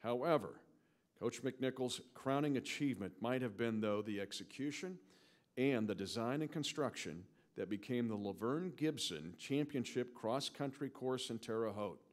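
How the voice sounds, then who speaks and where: A man reading scripted, formal award introductions as a ceremony announcer, in a measured presenting manner. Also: mid-range voice, lively intonation.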